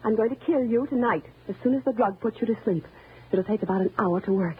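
Timid wife turned murderous, old radio. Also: female voice, fairly high pitch, fast, slightly rough. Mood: calm menace. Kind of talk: old-time radio thriller acting. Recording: noisy, narrow-band old radio recording.

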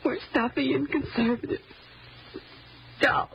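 A woman's voice: high voice, steady tone, measured pace, a little hiss or hum. An actress playing a devoted, sentimental middle-aged wife and mother, earnest and theatrical, in old-time radio drama style. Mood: hurt, bitter. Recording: dull old radio recording, music.

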